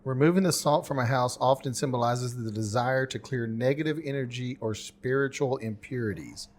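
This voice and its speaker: A man's voice: medium pitch, moderate pace. A man co-hosting a podcast in casual, colloquial banter, given to chuckling and the odd swear word. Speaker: podcast co-host.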